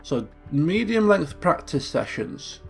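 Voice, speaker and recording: Chatty man with a gravelly voice. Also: on the high side, sing-song, takes it slowly, soft background music. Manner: chatty, thinking aloud, self-correcting. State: croaky from just waking up. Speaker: let's play host. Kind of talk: casual gameplay commentary.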